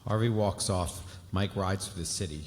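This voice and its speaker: A man's voice: deep voice, normal speed, a little mellow. A man reading scripted stage directions aloud at a live table read. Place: festival stage in a large hall. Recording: hall reverb with faint noise, live stage.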